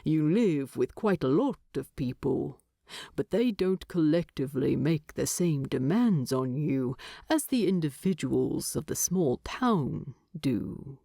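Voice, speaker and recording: A woman voicing a gruff, terse male dwarf in an audiobook, speaking seriously and with deliberation. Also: low-pitched, lively intonation, slow, mellow, no room sound, clean studio sound, pitched below the performer's own voice, full character voice. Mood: wry, weary. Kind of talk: audiobook character voice.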